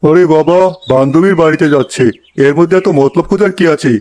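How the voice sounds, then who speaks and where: A man's voice: fairly high pitch, fast talker, darkish tone, close to an everyday voice. A man voicing a sensible, exasperated middle-aged father-in-law in a comedy cartoon. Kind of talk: Bengali cartoon comedy acting.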